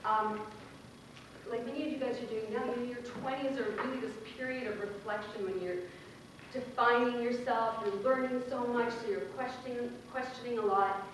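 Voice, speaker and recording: A woman's voice: on the high side, steady tone, normal speed, slight background noise. A young woman from Bangladesh gives an earnest, conversational conference talk in a large lecture hall, with fillers and laughs. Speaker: workshop speaker. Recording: very echoey hall, mic far off.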